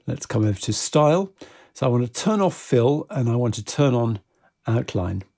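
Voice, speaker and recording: A man presenting a software tutorial step by step in an informal, measured, instructional way. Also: medium pitch, big pitch swings, gravelly. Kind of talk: software tutorial, step-by-step screen-recorded walkthrough.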